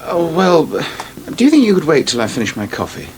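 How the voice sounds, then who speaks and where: An actor playing a sardonic, self-assured English husband with an upper-middle-class accent in a radio soap. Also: male voice, fairly high pitch, wildly swooping pitch, brisk, a little mellow. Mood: casual, assured. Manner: sardonic, lordly. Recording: slightly noisy 1980s radio broadcast.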